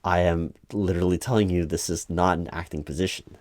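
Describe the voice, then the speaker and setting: A man acting as an exasperated recruiting manager in an audio interview roleplay, with a low voice and a close, dry sound. He stays polite and insistent, halting and repeating himself as he over-explains. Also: steady tone, darkish tone, no room sound, natural voice. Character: exasperated male recruiting manager, polite but increasingly weary. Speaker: job interviewer. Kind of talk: one-sided ASMR roleplay, spoken at normal volume.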